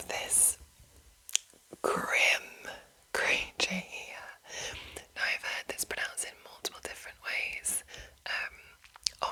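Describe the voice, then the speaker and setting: A woman reading and commenting as an ASMR host in a breathy, unvoiced whisper, deliberate and pausing between short phrases. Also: sharp tone, poor audio. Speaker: host reading a game rulebook.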